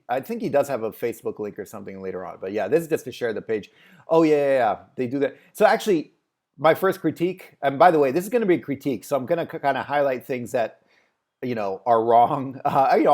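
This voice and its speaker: A man talks through a screen share at his desk in a relaxed, rambling way. He thinks aloud and fills gaps with "um" and "uh," restarting his sentences as he goes. His voice sits at an ordinary middle pitch. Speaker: host reviewing a website. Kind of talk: casual screen-share critique.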